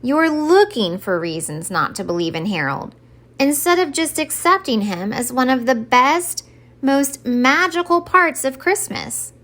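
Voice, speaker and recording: A woman's voice: sits high, expressive, a little slow, fairly bright tone, voice pushed up. A woman voicing Santa's patient old wife in a children's storybook, coaxing and reasoning with him. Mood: firm, reasoning. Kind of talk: expressive children's storybook read-aloud.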